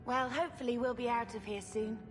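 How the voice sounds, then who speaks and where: A woman's voice: fairly high pitch, fairly even intonation, a little fast, close to an everyday voice. Woman acting a wistful young sister, reverberant. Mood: earnest. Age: young adult. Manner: sincere, wistful. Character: gentle, weary young sister, loyal and wistful. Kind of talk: dramatic video game voice acting. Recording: long reverb, faint music behind.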